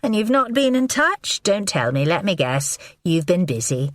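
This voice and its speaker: Female reader as biting, impatient woman; lowish voice, dry. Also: sing-song, no room sound. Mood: teasing. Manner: brisk and biting. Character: sharp, impatient woman confronting her lover. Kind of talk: audiobook character voice.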